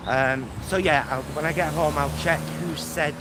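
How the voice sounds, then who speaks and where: A man's voice: on the high side, steady tone, measured pace. A man vlogging on a walk outdoors, chatting casually and meandering, often trailing off. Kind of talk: casual rambling chat to camera. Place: outdoors on a walk near a motorway. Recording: noisy outdoor recording with traffic.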